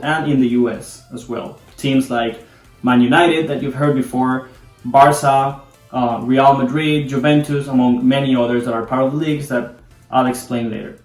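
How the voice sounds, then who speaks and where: A man from Colombia, a Spanish speaker, hosting a casual explainer video about a sport. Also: medium pitch. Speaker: video host explaining soccer. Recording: echoey room, distant mic, background music.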